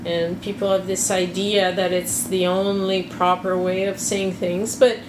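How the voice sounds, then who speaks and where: Hesitant woman, chatting casually, unhurried, roomy recording. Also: on the low side, flat delivery. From Quebec. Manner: hesitant, many restarts and fillers. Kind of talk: casual chat. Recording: echoey room with background noise.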